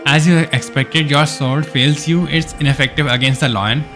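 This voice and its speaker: A man's voice: fairly high pitch, fairly even intonation, moderate pace, a bit bright, faint music behind. A man live streaming a game, reading its story text aloud with casual asides, sometimes stumbling over words and correcting himself.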